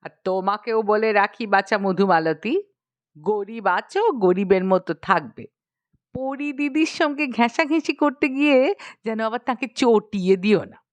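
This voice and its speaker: A woman's voice: medium pitch, lively intonation, a little slow, no echo, lightly acted. A woman voicing a fretful middle-aged queen mother in a storybook, chiding and nagging in a motherly way. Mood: stern warning. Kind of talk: dramatised storybook reading.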